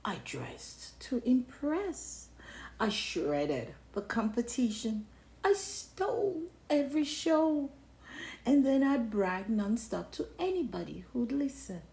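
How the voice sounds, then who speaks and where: A woman's voice: fairly high pitch, sing-song, slow pace, vocal fry, darkish tone, exaggerated voice. A woman voicing a pompous, showy big cheese in a theatrical picture-book read-aloud. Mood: boastful. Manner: pompous, theatrical, self-admiring. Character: boastful, showy big cheese craving the spotlight. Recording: roomy, echoing sound.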